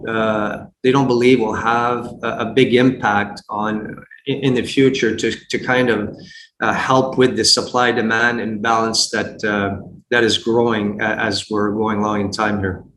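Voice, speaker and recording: Halting man in an echoey room. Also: on the low side, little pitch movement. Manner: halting, with frequent repeats and false starts. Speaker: investor guest giving market analysis. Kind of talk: unscripted earnings call commentary. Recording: roomy echo, faint background noise.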